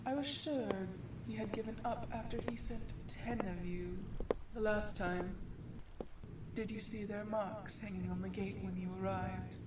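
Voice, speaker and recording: Woman playing a slow, menacing vampire baroness. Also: medium pitch, distant mic, very noisy, natural voice. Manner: taunting, theatrical, drawn-out delivery. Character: ancient female vampire baroness, menacing, mocking, seductive villain. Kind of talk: ASMR audio roleplay acting.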